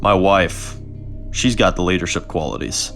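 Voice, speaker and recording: A man voicing a measured, understated male leader who was once a prison guard. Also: sits low, fairly bright tone, soft background music, voice pushed down. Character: gruff, modest former prison guard leader.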